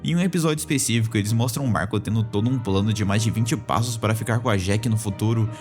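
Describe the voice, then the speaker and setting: A man's voice: fairly low pitch, quick pace, darkish tone. A young man with a Brazilian Portuguese accent narrating an informal, opinionated video essay as the channel's host. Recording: voice-over with music behind. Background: background music.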